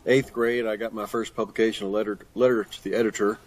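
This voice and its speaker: A man's voice: on the low side, level tone, fast, rough recording. An older man answering questions as a guest author in a conversational interview. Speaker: guest author, retired pastor and teacher.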